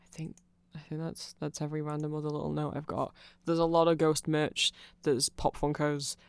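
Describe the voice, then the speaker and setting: A performer voicing a nerdy, awkward, eager vampire friend in a rambling, hesitant ASMR roleplay, halting and self-conscious. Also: medium pitch, monotone, creaky, clean tone, dry recording, lightly acted. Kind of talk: rambling ASMR roleplay, explaining fan lore to a listener.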